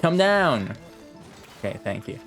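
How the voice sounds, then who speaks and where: A man's voice: medium pitch, big pitch swings, takes it slowly, fairly bright tone. Sweary man streaming a game over music. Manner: sweary, offhand muttering to himself while playing. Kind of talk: casual live gameplay commentary.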